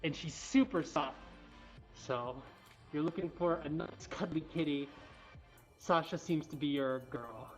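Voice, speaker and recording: A woman's voice: sits low, a little slow. A woman hosting a casual livestream, chatting informally and speaking affectionately to animals. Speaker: cat cafe livestream host. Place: indoors in a cat cafe. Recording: dull livestream audio, music behind.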